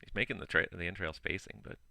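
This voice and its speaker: A man's voice: deep voice, fast, gravelly, sharp tone, dry recording. A man working as an online air traffic controller, giving clipped radio calls with weary casual asides while his voice is giving out. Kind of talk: ATC phraseology with casual asides.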